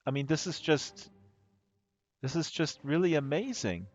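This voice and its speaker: A man with a hoarse voice giving casual, excitable live-stream commentary, rambling and gushing with amazement. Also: fairly high pitch, measured pace. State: hoarse from talking all day. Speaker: live streamer, virtual world tour guide. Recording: dull lo-fi stream audio with music underneath. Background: music playing.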